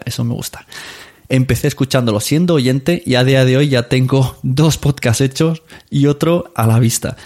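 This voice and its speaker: A man's voice: mid-range voice, a little mellow. A man hosting a podcast in a casual, playful, effusive way, chatting to listeners. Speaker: podcast host. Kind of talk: casual podcast talk, reading reviews.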